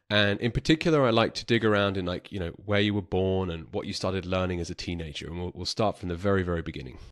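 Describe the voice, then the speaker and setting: Low-voiced southern English man, podcast host. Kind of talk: conversational interviewing.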